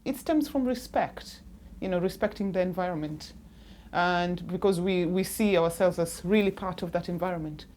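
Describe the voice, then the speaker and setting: A woman speaks in a lively, conversational way about her upbringing and languages. Her voice sits a little low, with faint room sound around her. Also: moderate pace, a little hiss or hum. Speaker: documentary interviewee. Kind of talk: reminiscing to camera.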